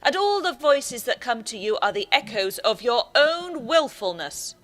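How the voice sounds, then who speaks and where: A woman's voice: on the high side, sing-song, measured pace, fairly bright tone. A woman playing a stern, authoritarian church leader in a radio play, speaking in a severe, formal, admonishing way. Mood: stern rebuke. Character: stern, authoritarian high churchman, warning and judgemental.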